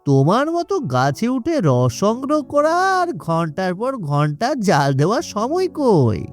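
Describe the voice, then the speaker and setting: A man's voice: high-pitched, huge pitch swings, slow, faint music behind, heavily acted. A man voicing a sly, boastful swindling salesman in a children's cartoon, brash and wheedling. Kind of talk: cartoon story voice acting.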